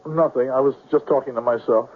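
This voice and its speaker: An actor playing a wordy, rueful swindler in a 1970s radio drama, florid and self-pitying. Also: male voice, medium pitch, steady tone, a little fast, a little hiss or hum, close to an everyday voice. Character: wordy, rueful male con man under arrest. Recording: muffled, phone-like old radio recording.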